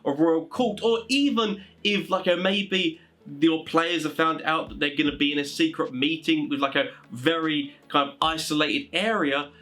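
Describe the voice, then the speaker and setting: A man hosting a casual explainer for tabletop game masters, talking in an animated, rambling, informal way. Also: a little high, moderate pace. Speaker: video host giving tabletop game master advice.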